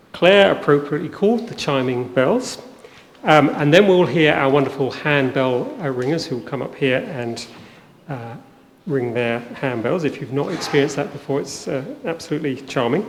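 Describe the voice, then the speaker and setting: A man acting as master of ceremonies, introducing a concert conversationally with many hesitant ums. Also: fairly high pitch. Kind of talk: informal spoken introduction to a concert. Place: inside a church at a live event. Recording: reverberant church, faint background noise.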